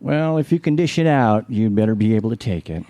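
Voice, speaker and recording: An actor playing a blunt, skeptical, penny-pinching husband in a live radio play, with wry, slangy talk. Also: male voice, lowish voice, animated, fairly quick, dark tone, no room sound. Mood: scornful, combative.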